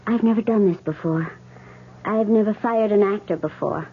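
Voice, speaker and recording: Husky dramatic theatre lady, apologetic, halting, tinny old radio play. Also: medium pitch. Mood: regretful, evasive. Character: regretful theatrical actress firing a colleague, genteel. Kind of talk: 1970s radio drama acting.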